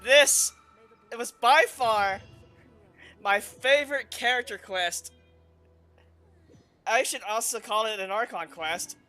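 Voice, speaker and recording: A streamer giving casual, excitable commentary over gameplay, gushing and rambling, with faint game music behind. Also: mid-range voice, slow, long pauses.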